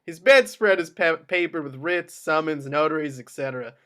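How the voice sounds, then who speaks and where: A man's voice: on the high side, normal speed, sharp tone. A man narrating screenplay action in clipped descriptive phrases at an amateur table read. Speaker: narrator reading stage directions.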